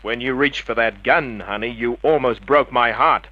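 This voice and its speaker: An actor playing a wisecracking, hard-boiled private detective in an old-time radio drama, sardonic and clipped. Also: male voice, mid-range voice, normal speed, slight background noise, natural voice. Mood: probing, sardonic. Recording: dull vintage radio recording.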